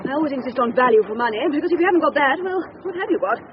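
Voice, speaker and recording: A woman's voice: sits high, animated, racing. An actress playing an imperious, opinionated older British lady in a radio drama. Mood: bossy. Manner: imperious, emphatic, disdainful. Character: bossy, snobbish, opinionated older lady on an outing. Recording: dull radio recording, clear background noise.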